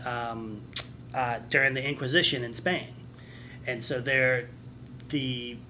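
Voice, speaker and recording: A man explaining things casually in a group discussion, halting and often repeating his words as he goes. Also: medium pitch, sharp tone, a little hiss or hum. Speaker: podcast co-host.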